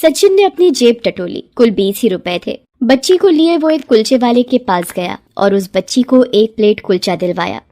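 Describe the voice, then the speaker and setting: A woman narrating a scripted moral story in an expressive, dramatic storytelling manner. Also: fairly high pitch, moderate pace, few pauses.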